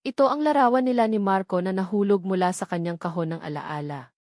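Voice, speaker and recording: A Filipino woman tells a romantic story from a script. Her voice sits at a middle pitch, and her delivery is dramatic and measured. The sound is dry, with no room around it. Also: a little mellow, no echo. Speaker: story narrator.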